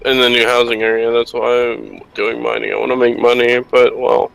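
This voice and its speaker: A man's voice: medium pitch, little pitch movement, moderate pace, vocal fry, a bit bright, low-quality recording. A man talking casually with friends while playing an online game. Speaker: co-player. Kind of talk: casual gaming chat.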